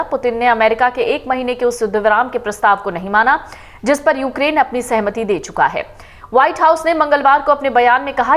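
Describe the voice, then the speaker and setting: Woman, news reader, scripted narration, formal steady delivery, fairly high voice.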